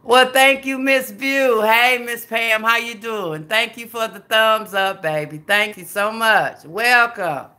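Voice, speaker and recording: A woman's voice: on the high side, slow, hardly any pauses, sharp tone. A woman in her fifties from Memphis with a Southern accent, chatting folksily with her livestream viewers and calling them baby and girl. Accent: Southern American. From Memphis, USA. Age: 50s. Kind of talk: casual livestream chat.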